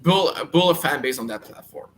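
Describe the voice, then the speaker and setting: A man's voice: on the high side, lively intonation, normal speed, fairly bright tone. A man with a Quebec French accent, French as his first language, speaking eagerly as an interview guest and often restarting words as he searches for them in English. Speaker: guest comic creator. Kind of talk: casual interview talk.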